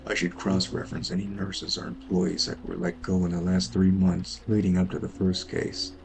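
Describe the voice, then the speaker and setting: A man playing a weary, brooding detective, narrating in a measured film noir style for an audio drama. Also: deep voice, level tone, gravelly, a little mellow, faint music behind. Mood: intrigued, analytical. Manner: brooding, measured first-person monologue. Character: weary, sleepless noir detective brooding over murder cases. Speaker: voice actor narrating an audio roleplay.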